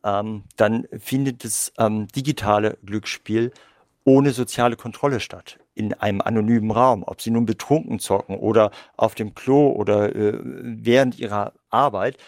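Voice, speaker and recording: A male gambling researcher giving measured, explanatory answers in an interview, with occasional fillers. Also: on the low side, fairly even intonation, a little mellow, no echo, studio quality.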